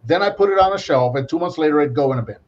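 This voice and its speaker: Loud rambling man on lo-fi audio. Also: fairly high pitch, lively intonation. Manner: loud, rambling, quick restarts. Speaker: podcast co-host. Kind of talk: casual chat.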